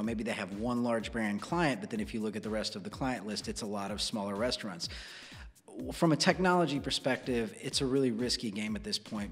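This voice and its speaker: A man's voice: lowish voice, in a large hall. An American man in his thirties presenting and discussing as a podcast host in a conversational way. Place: small dark indoor studio. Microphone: close broadcast studio microphone on boom arm.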